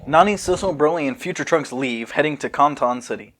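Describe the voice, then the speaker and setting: A man's voice: a little high, a little fast, a bit bright. A man reading a fan fiction outline aloud as a podcast host, breaking off often to comment and joke.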